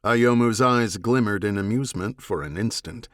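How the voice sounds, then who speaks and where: A man narrating a science fiction novel as an audiobook, in a measured, serious, dramatic manner. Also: lowish voice, fairly bright tone, no room sound, clean studio sound.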